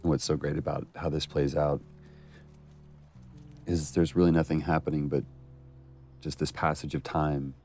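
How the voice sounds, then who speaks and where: A man's voice: rumbling low, level tone, moderate pace, pauses often, faint music behind. A man giving casual, admiring commentary on game cutscenes, recalling how the scenes were performed. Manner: warm, admiring, laughs easily.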